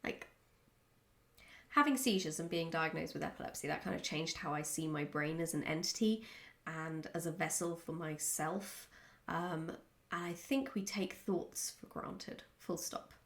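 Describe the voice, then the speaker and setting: A woman with a slightly low voice and a noticeable creak speaks casually, as if answering follower questions in a vlog. Her delivery is reflective and candid, with halting moments as she works through a thought. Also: fairly bright tone.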